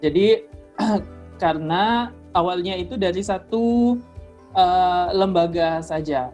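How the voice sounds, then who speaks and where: Relaxed man explaining, faint music. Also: high-pitched. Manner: relaxed, explains slowly with "eh" and "em" pauses. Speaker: former tutor, interview guest. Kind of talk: casual explaining in an interview.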